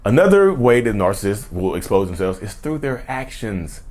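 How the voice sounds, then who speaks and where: Man, vlog host, animated, lively pitch swings, emphatic, slangy. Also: moderate pace. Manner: animated and emphatic, slangy, addresses viewers as y'all. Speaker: YouTube host. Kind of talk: casual vlog rant.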